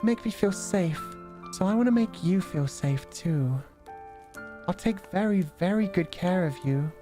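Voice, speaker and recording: Man putting on a gentle, high-voiced doting princess. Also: expressive, measured pace, soft background music. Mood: tender, reassuring. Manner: soft, tender, formal storybook phrasing. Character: gentle, doting young princess, softly possessive.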